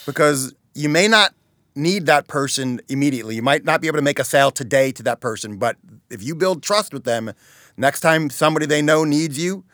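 A man's voice: a little high, expressive, fairly quick, fairly bright tone, no echo. Earnest man in a dry, close recording. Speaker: chamber of commerce president, interview guest. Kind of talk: conversational interview.

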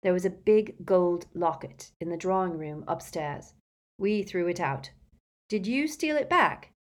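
A woman voicing a fierce, impatient teenage boy hero in a storybook, forceful and demanding as he questions and gives orders. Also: on the low side, expressive, unhurried. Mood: urgent.

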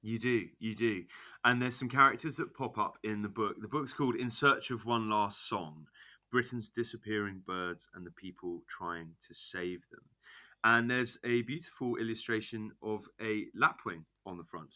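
A man hosting a podcast interview, chatty and enthusiastic, quick to praise his guest. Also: on the low side, pushed and bright. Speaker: podcast host.

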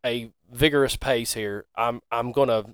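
A man's voice: mid-range voice, fairly even intonation, moderate pace, clean tone, dry recording. A man with a Southern US accent telling stories in casual podcast chat, in a folksy, joking way.

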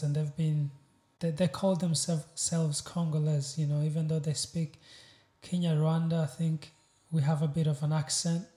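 Dark-voiced East African man in echoey room. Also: on the high side. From Uganda. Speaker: podcast guest, poet. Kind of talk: casual chat. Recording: roomy echo.

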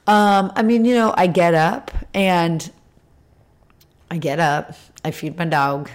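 Low, raspy-voiced woman guest; casual, filler-heavy chat. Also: fairly even intonation, slow. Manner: rambling, lots of fillers. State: throat scar tissue, tires easily. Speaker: interview guest, retreat leader. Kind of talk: casual conversation.

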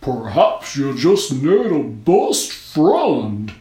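A woman's voice: a bass voice, big pitch swings, very slow, mellow, reverberant, heavily acted. A woman voicing a hearty, bossy talking snowman with slurred speech, mischievous, in a storybook reading.

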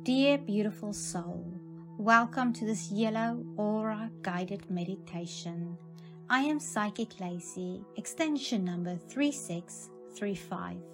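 Slow, soothing woman guiding a meditation. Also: medium pitch, music in the background. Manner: calm, soothing, measured. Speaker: meditation guide. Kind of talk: scripted guided meditation narration.

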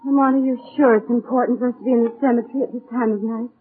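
A woman's voice: a little high, fairly even intonation, very fast, husky. An actress playing an elegant, wry female companion to a sleuth in a 1940s radio drama. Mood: uneasy. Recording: muffled narrow-band 1940s radio recording.

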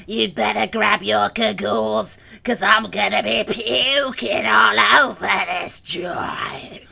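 A performer playing a gruff male slasher who stalks kids in their dreams, in a gravelly voice. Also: mid-range voice, steady tone, slow, sharp tone, exaggerated voice.

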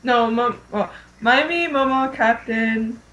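A woman's voice: fairly high pitch, slow pace, a bit bright, dull audio. A giggly woman chatting casually as a co-host on a fan podcast.

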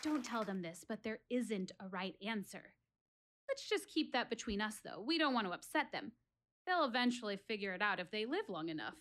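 An actress playing a reflective, wistful woman who quizzes her audience about life in a deliberate, expressive theatrical monologue, speaking as if confiding in them. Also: mid-range voice, some chatter behind, slight background noise. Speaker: actress in a one-woman show.

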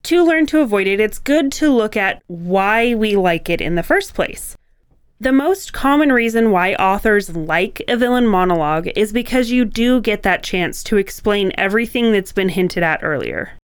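A woman's voice: mid-range voice, animated, normal speed, clear, resonant tone, dry recording, clean studio sound. A woman co-hosting a podcast, talking conversationally as she explains a craft. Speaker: podcast co-host.

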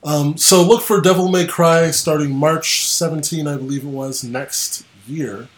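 A man in his twenties hosting a casual gaming podcast, chatty and quick to laugh, trailing off with ums. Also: fairly high pitch, steady tone. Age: 20s. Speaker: podcast host.

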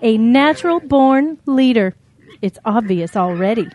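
Woman as high-voiced proud mother cow. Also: expressive, unhurried, darkish tone. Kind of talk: children's audio drama acting.

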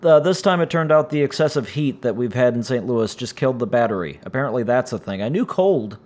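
A man with a raspy, strained voice from injured vocal cords, hosting his own podcast in a rambling, casual way full of fillers. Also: medium pitch, lively intonation, brisk, darkish tone. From United States. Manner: rambling, frequent "uh" fillers, self-deprecating. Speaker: podcast host. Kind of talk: casual solo podcast chat. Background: cats making noise.

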